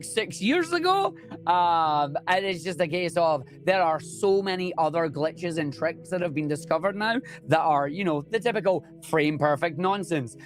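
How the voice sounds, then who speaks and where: A young man with a Scottish accent, a speedrunner chatting enthusiastically and jokily in an interview. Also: sits high. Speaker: speedrunner guest. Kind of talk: casual interview chat.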